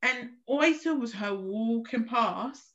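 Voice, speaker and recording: A woman's voice: a little high, expressive, measured pace, fairly bright tone. A young woman, telling a story in a casual podcast chat and often restarting her sentences. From England. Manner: chatty storyteller, restarts a lot. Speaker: podcast co-host. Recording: slightly muffled lo-fi recording.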